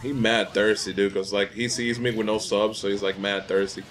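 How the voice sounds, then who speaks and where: A man's voice: on the low side, flat delivery, moderate pace, a bit bright, faint music behind. Profane young man ranting over a game. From Dominican Republic. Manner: profane, ranting, easily irritated. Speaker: gameplay commentator. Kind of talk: casual gaming commentary.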